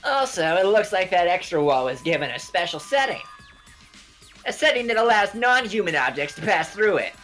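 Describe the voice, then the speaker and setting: A childlike little robot bear, acted by a woman in a game fan dub. The voice sits low for a woman and swings in a lively way as it explains things earnestly. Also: normal speed, faint music behind. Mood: earnest, explaining.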